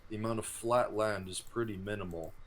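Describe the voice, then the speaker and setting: A man's voice: fairly low pitch, brisk. A man in his thirties chatting casually about gameplay as a co-host. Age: 30s. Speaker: co-host of gaming series. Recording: clear background noise and ambience.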